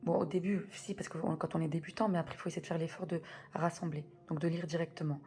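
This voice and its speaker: A woman's voice: deep voice, flat delivery, fast, mellow. A woman teaching a beginners' reading lesson, patiently spelling out sounds step by step and repeating key words. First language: French.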